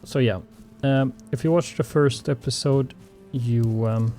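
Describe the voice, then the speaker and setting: A man's voice: medium pitch, warm tone, soft background music. A man whose first language is Swedish, commenting on his survival gameplay in a hesitant way full of ums and uhs. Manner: slow, hesitant, many fillers. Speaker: gaming channel host.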